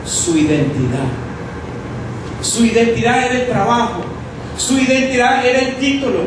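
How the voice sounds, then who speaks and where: A man's voice: extremely high pitch, fairly even intonation, brisk. A man in preaching a sermon to a congregation, teaching through rhetorical questions. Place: church hall with congregation. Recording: echoey hall, distant mic, noisy.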